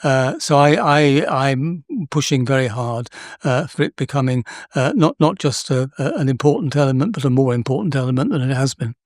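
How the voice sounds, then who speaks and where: Measured Englishman, dry close sound. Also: medium pitch, lively intonation, no echo. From England. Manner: measured, emphatic, with hesitant restarts. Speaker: guest speaker on education. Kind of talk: panel discussion.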